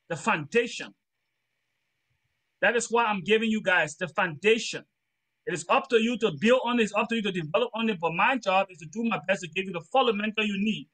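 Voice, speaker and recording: A man's voice: high voice, a bit bright, low-quality recording. A middle-aged man from Liberia with a Liberian accent, giving an earnest, preachy motivational talk during a training lesson and repeating his phrases for emphasis.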